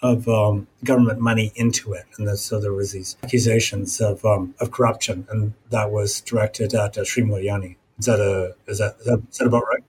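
A man's voice: on the low side, flat delivery, fairly quick, hardly any pauses, darkish tone, slight background noise. A man hosting a conversational interview in an informal, self-deprecating manner. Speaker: podcast host, interviewer.

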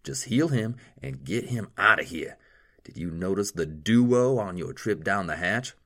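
A man voicing a sharp-tongued young female nurse with a dry, sarcastic edge. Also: deep voice, expressive, measured pace.

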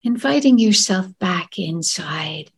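A woman leading a gentle guided meditation in a soft, soothing, breathy voice that often trails into a whisper. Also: mid-range voice, animated, slow. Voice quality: breathy, husky.

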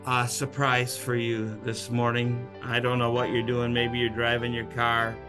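A man's voice: mid-range voice, monotone, unhurried, over music. A man hosting a podcast interview, talking in a loose, rambling, unscripted way. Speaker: podcast host. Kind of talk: casual interview chat.

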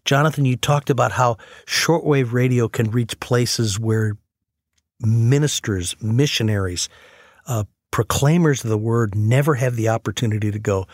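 A man's voice: mid-range voice, moderate pace, dry recording, studio quality. A man telling stories conversationally and earnestly as host of a faith radio program. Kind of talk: conversational storytelling on a faith talk show.

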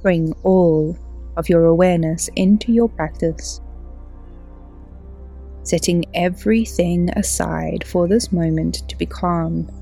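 A woman yoga teacher leading a guided relaxation in a soothing, unhurried, gently instructing way. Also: lowish voice, steady tone, creaky, slightly rough, faint music behind. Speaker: yoga teacher and podcast host. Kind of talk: guided relaxation meditation narration.

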